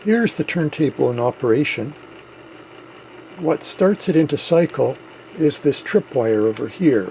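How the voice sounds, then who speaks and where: A man with a gravelly voice plainly and methodically narrating a hands-on repair as the host of a hobby video. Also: mid-range voice, warm tone, a little hiss or hum, rough recording.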